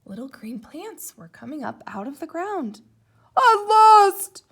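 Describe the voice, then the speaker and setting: A woman reading a children's story aloud in an expressive narrating style. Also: high-pitched, normal speed, husky. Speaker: storybook reader.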